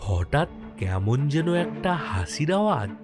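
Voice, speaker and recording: A man's voice: lowish voice, very animated, unhurried, music in the background. An actor playing a jittery postmaster who narrates his own haunting in a suspenseful, theatrical horror cartoon style.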